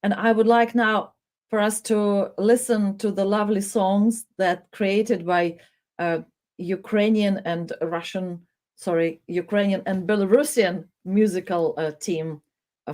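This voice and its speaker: A woman's voice: mid-range voice, fairly even intonation, unhurried, darkish tone. Hesitant woman hosting an interview. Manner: hesitant, with many fillers and restarts. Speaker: host.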